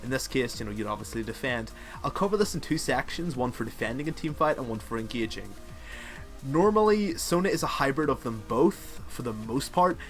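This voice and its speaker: A young man with a Northern Irish accent talks through a video game guide in a casual, rambling way, often dropping in fillers. His pitch sits in a middling range for a man. Faint music plays quietly beneath his voice. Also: lively intonation, brisk. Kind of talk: game strategy tutorial voice-over.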